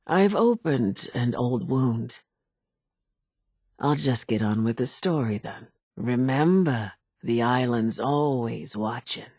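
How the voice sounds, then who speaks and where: A woman's voice: very deep voice, animated, slow pace, a little mellow, breathy edge, no room sound, studio quality, exaggerated voice. An actress playing an older island woman in a game, a folksy, dramatic storyteller who mourns her family and wants vengeance. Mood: grateful, measured. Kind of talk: scripted game character dialogue, theatrical storytelling.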